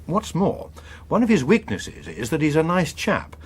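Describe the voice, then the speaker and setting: A scheming senior politician in a political drama. He is polished and unflappable, a man who persuades with condescension. The voice is husky and very varied in melody. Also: a little high, wildly swooping pitch, normal speed, full character voice. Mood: conspiratorial, assured. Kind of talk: British political drama acting.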